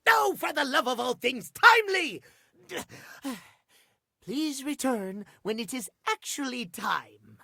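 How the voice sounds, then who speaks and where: A man's voice: extremely high pitch, lively intonation, slow, vocal fry, bright, forceful tone, no room sound, clean studio sound, heavily acted. A man voicing a prim, fussy and earnest living clock in a video game, with formal, theatrical old-fashioned diction. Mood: indignant, fussy. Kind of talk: animated video game character acting.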